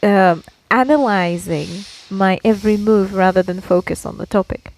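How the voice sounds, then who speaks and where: A woman's voice: medium pitch, normal speed, a little mellow, rough recording. A woman co-hosting a casual podcast, pushing back argumentatively with the odd mild swear word. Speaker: podcast co-host. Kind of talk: casual argumentative banter between co-hosts.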